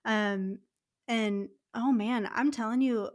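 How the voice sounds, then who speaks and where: A woman's voice: a little high, fairly even intonation, takes it slowly. Chatty young woman co-host. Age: 20s. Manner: chatty and candid. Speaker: podcast co-host. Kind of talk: casual chat.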